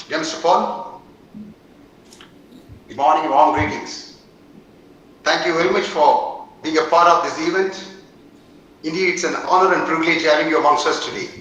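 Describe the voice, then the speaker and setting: A man's voice: fairly high pitch, bright, forceful tone. A man hosting an event, thanking a guest in formal, courteous words. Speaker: event host. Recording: very echoey, distant, faint hiss.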